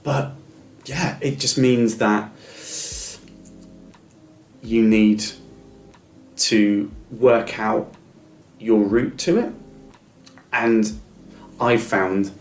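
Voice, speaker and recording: A middle-aged business advisor who stammers, giving a measured, thoughtful interview answer with small blocks and repeats. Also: male voice, on the low side, fairly even intonation, echoey room.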